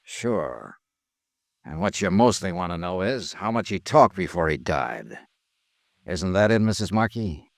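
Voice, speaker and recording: An American actor playing a cool, accusing insurance investigator in a 1950s radio drama, with a clipped, deadpan delivery. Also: male voice, deep voice, measured pace, vocal fry, dry recording, heavily acted. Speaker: lead actor and narrator. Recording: vintage radio drama, dry, music behind.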